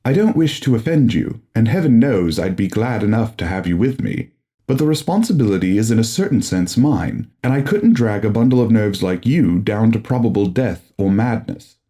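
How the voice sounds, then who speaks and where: A man reading as coolly commanding occult explorer, mellow. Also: on the low side, lively intonation, moderate pace, close to an everyday voice. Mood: cool, insistent. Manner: unperturbed, formal, earnest. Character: calm, coolly commanding male occult explorer, condescending yet courteous. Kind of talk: audiobook character dialogue, gothic horror.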